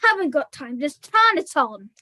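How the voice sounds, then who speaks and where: A woman's voice: sits high, big pitch swings, normal speed, sharp tone, no echo, full character voice. A young woman voicing a bossy, exasperated puppet in a comedy puppet show, with a stern, scolding manner. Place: video call.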